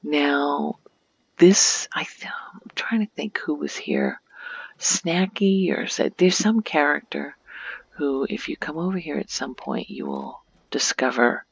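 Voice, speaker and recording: Soft, breathy woman giving an ASMR tour. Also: sits low, wildly swooping pitch, slow, dry recording, dull audio. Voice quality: soft, breathy, often near whisper. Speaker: video game streamer and ASMR creator.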